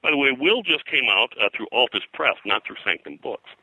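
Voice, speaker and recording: A man talking informally as an interview guest and historian, rambling and haltingly, often repeating words. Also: mid-range voice, big pitch swings, sharp tone, no room sound, rough recording. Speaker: guest, pop culture historian. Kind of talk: informal interview talk about pulp fiction history.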